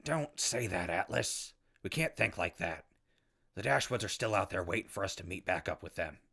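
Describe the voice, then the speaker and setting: Man playing a deep, gravelly, weary wasteland survivor. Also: animated, moderate pace, bright, forceful tone, exaggerated voice. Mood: worried. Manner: sarcastic, colloquial, exasperated. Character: wry, weary, good-hearted, easily exasperated male wasteland survivor. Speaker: protagonist and narrator. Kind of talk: post-apocalyptic audio drama acting.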